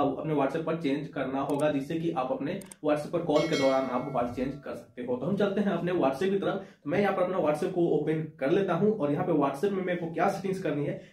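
Mellow young man, echoey room. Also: a little high. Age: young adult. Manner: explanatory, repeats points, addresses viewers as friends. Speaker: tech tutorial host. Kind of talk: phone tutorial to camera. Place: small indoor room with shelves.

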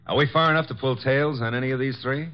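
An actor playing a brash, wisecracking young private eye in a radio drama, with slangy, punchy talk. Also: male voice, medium pitch, natural voice. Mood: brisk, puzzled. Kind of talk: 1950s radio detective drama acting. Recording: dull old radio broadcast recording.

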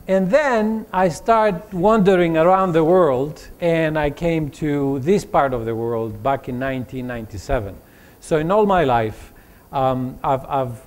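A man, giving a motivational talk to students with animated storytelling and frequent fillers and restarts. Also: fairly high pitch. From Greece. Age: 40s. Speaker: conference speaker.

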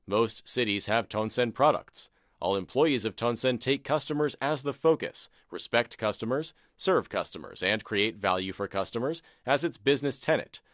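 A man reading a scripted promotional narration in a formal, measured manner, as the narrator of a corporate video. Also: medium pitch, animated, fairly bright tone, no echo.

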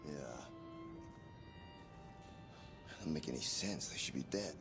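An actor playing a gruff, world-weary muscular survivor in a video game, blunt and sardonic, with a gravelly voice. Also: male voice, very deep voice, lively intonation, fast. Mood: puzzled. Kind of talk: video game cutscene acting. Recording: music behind the voice. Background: music.

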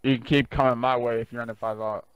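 Man shouting short calls, dry mic. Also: medium pitch, level tone, quick pace, smooth, no room sound. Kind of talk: team chat during play.

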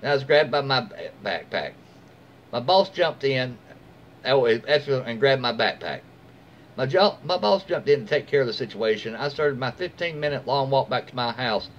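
A man's voice: mid-range voice, lively intonation, normal speed. An American man in his forties reads a story aloud informally, occasionally stumbling over words and correcting himself. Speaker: storyteller reading online posts aloud. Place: small room at home, indoors. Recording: dull lo-fi mic, faint background noise.

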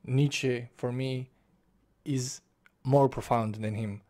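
Young man, unhurried, dark warm tone, home bedroom desk-mic book vlog. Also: medium pitch, steady tone. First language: Romanian. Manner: passionate, gestures with both hands, restarts phrases. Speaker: book reviewer. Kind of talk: casual spoken book review. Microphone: desk microphone in front of face, webcam framing.

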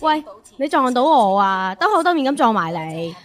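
Woman acting high-pitched bossy young girlfriend. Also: sing-song, moderate pace, background noise. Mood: indignant. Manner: whiny, scolding.

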